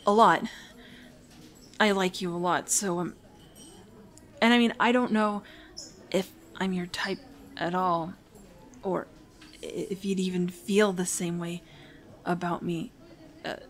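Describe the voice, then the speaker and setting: A woman's voice: on the low side, fairly even intonation, slow pace, long pauses. A woman playing a shy, nervous teenage hero-in-training in a romantic audio roleplay, speaking in a hesitant, apologetic, rambling way. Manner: hesitant, stammering, apologetic, prone to anxious rambling.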